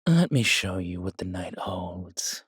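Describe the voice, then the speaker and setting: A man acts as a darkly charming, world-weary vampire in an intimate roleplay audio. His voice sits low and he speaks very slowly, in measured, courtly phrases. The recording is close and dry, addressed softly to a single listener as a fond protector. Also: big pitch swings, breathy edge, dry recording, exaggerated voice. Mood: seductive, sly.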